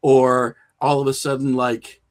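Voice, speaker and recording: A man's voice: mid-range voice, flat delivery, unhurried. A middle-aged man talking casually as an interview guest, opinionated and rambling as he works through his thoughts. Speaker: podcast guest, horror filmmaker and writer.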